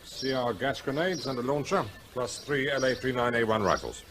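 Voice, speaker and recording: An actor playing a practical, authoritative police officer in charge, in a naturalistic radio drama. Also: male voice, mid-range voice, normal speed, fairly bright tone, a little hiss or hum, natural voice. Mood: matter-of-fact, wry. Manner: authoritative, matter-of-fact. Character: firm, practical male police officer commanding a siege.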